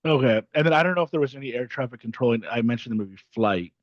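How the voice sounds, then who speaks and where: A man's voice: mid-range voice, lively intonation, rapid-fire, no echo. A man hosting a casual interview, asking informal, loosely phrased questions. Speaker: podcast host. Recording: dry, slightly dull lo-fi sound.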